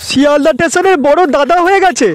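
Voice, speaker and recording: A man voicing a quick-tempered village snack seller in a comedy cartoon. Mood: angry. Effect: pitch-shifted cartoon voice.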